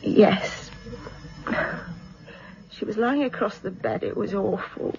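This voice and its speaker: A woman's voice: medium pitch, slow, gravelly, mellow, slightly rough, exaggerated voice. An actress playing a weary, defensive British woman being questioned as a witness in a radio drama, hesitant and wryly tart. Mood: shaken, subdued. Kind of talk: radio drama acting, naturalistic dialogue. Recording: slightly dull, muffled radio recording.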